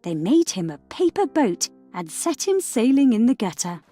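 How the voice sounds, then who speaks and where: Animated woman telling a fairy tale. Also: a little high, moderate pace, soft background music. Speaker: storyteller. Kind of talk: scripted fairy tale narration for children.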